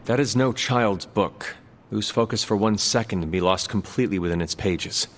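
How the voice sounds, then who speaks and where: A man's voice: fairly low pitch, normal speed, long reverb tail, lightly acted. A man playing a solemn, courteous ancient warrior in a video game, speaking in formal, old-fashioned phrases. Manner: formal, archaic phrasing without contractions. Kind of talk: video game cutscene acting.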